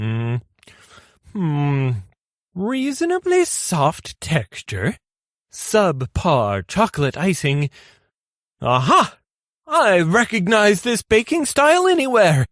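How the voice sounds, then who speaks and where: A woman's voice: fairly low pitch, big pitch swings, slow, pauses often, dry recording, studio quality. A woman playing a flamboyant, pompous male food judge in a comic radio play. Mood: judging, theatrical. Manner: pompous, exclamatory, savouring.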